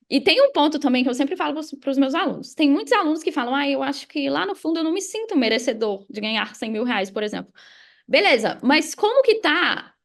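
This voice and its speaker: A young Brazilian woman speaks in a high voice with noticeable vocal fry. She talks like a podcast guest sharing motivational advice, casual, conversational and emphatic. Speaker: podcast guest, digital marketing mentor. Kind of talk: casual motivational interview talk.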